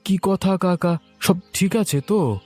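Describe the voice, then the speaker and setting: A man's voice: high-pitched, takes it slowly, darkish tone, faint music behind. A man voicing a meek, honest villager in a cartoon, polite and pleading. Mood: respectful. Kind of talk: cartoon dialogue acting.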